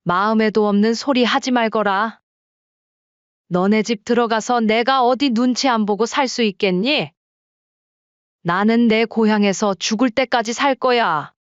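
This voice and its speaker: A woman's voice: fairly high pitch, measured pace, clean tone, no room sound, dull audio, voice pushed up, lightly acted. A woman playing a stern, proud old farm woman. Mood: firm. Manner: firm, plain-spoken. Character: stern elderly woman ginseng farmer, proud and blunt.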